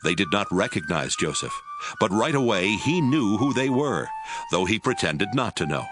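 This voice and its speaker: Low-pitched male narrator over music. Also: big pitch swings, moderate pace. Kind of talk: scripted dramatic Bible narration.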